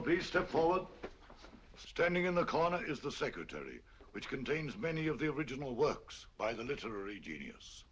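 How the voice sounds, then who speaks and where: A pompous sing-song tour guide. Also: male voice, on the high side, fairly bright tone. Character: pompous, chatty male museum tour guide. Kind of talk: 1940s film acting. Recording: dull lo-fi 1940s film soundtrack with faint room noise.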